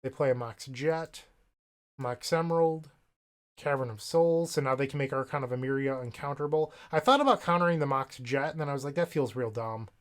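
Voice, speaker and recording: A man's voice: mid-range voice, expressive, a little fast. A young man with a slight cold, casually commenting on his own card game play, thinking aloud with wry asides, recorded at a home desk setup. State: sniffly from a cold. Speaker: gaming content creator.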